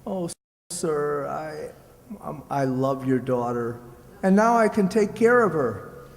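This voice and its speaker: A man playing a humble, earnest young lad with a halting manner in a radio folktale. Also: on the high side, expressive, gravelly, full character voice. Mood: earnest, hopeful. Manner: halting, stammering. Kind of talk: stage-like radio play acting. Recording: echoey hall.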